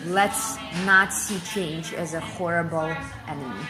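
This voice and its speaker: A woman's voice: sits low, unhurried, loud music behind the voice. A woman in her forties from Kazakhstan, a guest giving fluent, emphatic interview answers and repeating phrases for effect. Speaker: interview guest, business speaker.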